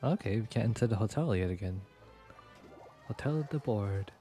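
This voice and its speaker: A man's voice: fairly low pitch, animated, normal speed, mellow, soft background music. A man giving casual, laid-back live commentary as he streams a game, often muttering short reactions.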